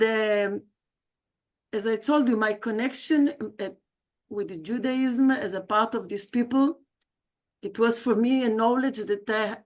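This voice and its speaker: A woman, introducing herself on a video call in a chatty, personal way, with frequent hesitations and self-corrections. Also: a little high. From South America. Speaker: guest speaker, leadership coach. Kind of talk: livestreamed self-introduction talk.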